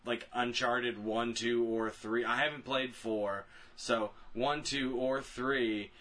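A man with a bright, forceful voice and a middling pitch talks as a podcast co-host. His delivery is casual but emphatic and opinionated, and he restates phrases for stress. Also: fairly even intonation, slow, slight background noise. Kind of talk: casual opinionated discussion of films and games.

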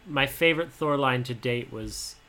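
Young male podcast co-host, twenties, high boyish timbre with a sharp brightness, halting and candid delivery. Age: 20s. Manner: halting, candid, soft-spoken about personal struggles. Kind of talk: casual podcast chat.

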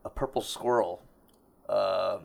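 Man from South Korea, gravelly, modest, laid-back, halting, laughs often. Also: sits low, steady tone. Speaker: tattoo artist guest. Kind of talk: casual interview chat.

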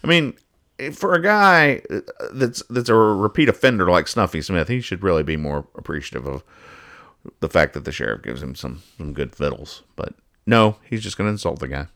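A man hosting a casual podcast, talking through comic strips in a halting, mock-analytical way and reading out the dialogue. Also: low voice, lively intonation, a bit bright. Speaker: podcast host. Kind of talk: casual chat, describing comic strips and explaining the jokes.

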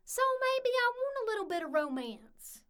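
Hot-headed young woman, vengeful, bright high voice, very animated, audio drama. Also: normal speed, heavily acted. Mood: furious.

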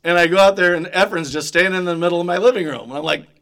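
A man's voice: high voice, rapid-fire, a bit bright. A middle-aged man chatting casually and comically, with a theatrical way of putting on voices. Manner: theatrical, bursts into song and put-on voices.

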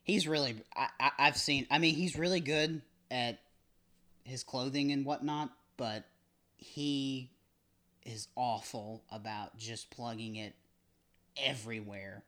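A man's voice: mid-range voice, steady tone, takes it slowly, sharp tone. Male podcast host, chatty and joking, casual interview. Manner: joking, rambling, self-deprecating.

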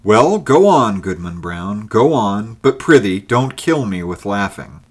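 A man playing the devil, smooth and mocking. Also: fairly low pitch, big pitch swings. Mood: sly. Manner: measured, archaic, smoothly persuasive. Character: the devil as a calm, mocking elder traveler.

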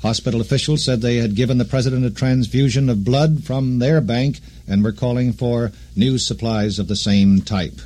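A man anchoring a live breaking news bulletin on 1960s radio, speaking deliberately and repeating key phrases. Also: medium pitch, steady tone, mellow.

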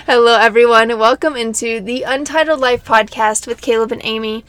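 A woman's voice: on the high side, steady tone, moderate pace, a bit bright, smooth. A young adult woman co-hosting a podcast, talking in a casual, unscripted, conversational way. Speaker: podcast co-host.

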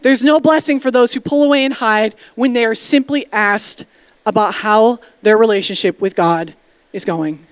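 A woman's voice: a little high, normal speed, clear, resonant tone, dry recording. A woman pastor preaching a sermon, emphatic and direct, calling out to the congregation for responses and dropping casual asides. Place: church sanctuary.

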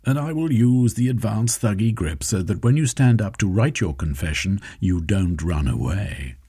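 Man as a determined young male hero, quick and husky. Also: on the low side, lively intonation, mellow, dry recording, clean studio sound.

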